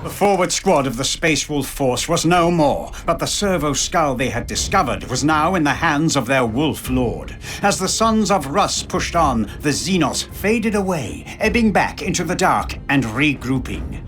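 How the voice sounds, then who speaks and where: Solemn male narrator, higher-pitched voice. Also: big pitch swings, unhurried, hardly any pauses, a bit bright, faint music behind. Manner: solemn, portentous storytelling. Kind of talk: dramatic scripted video game narration.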